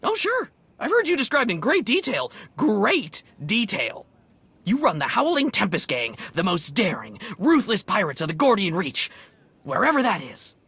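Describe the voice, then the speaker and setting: An excitable alien townie in a pirate video game. The voice is sharp and husky, swinging up and down with breezy, gushing informality, recorded close and dry. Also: female voice, medium pitch, normal speed, no room sound, heavily acted. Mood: excited, then teasing, delighted. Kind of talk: video game cutscene acting.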